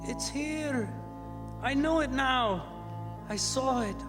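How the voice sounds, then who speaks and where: A man playing a frightened, superstitious ship's mate in a radio drama, with halting, dramatic foreign-sailor speech. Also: very high voice. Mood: haunted, frantic. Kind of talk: old-time radio drama acting. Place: performing arts theatre stage. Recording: stage hall reverb with loud music.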